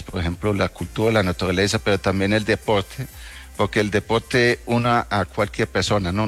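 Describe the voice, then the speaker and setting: Dutch guy in his middle years being interviewed, native dutch speaker, a bit low voice, halting, faint music under. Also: a bit bright. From Netherlands. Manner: halting, hesitant phrasing. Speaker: interview guest, travel agency owner. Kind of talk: conversational interview answers.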